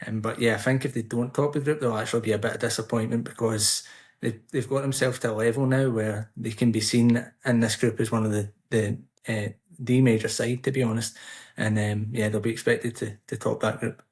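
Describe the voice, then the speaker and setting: Flat-voiced man, measured. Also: mid-range voice. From United Kingdom. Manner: measured, explanatory answers. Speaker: podcast guest, Swiss football commentator. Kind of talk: casual podcast interview.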